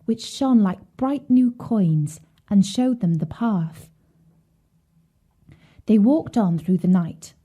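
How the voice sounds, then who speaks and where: A woman storyteller with a soft-edged, mellow tone and a medium pitch. She reads scripted bedtime narration for children. Her delivery is measured and expressive. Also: husky.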